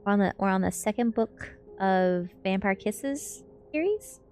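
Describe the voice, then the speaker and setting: A woman's voice: mid-range voice, expressive, measured pace, a little mellow, faint music behind. A woman streamer reading a book ASMR style and chatting casually with viewers in a playful way. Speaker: VTuber streamer.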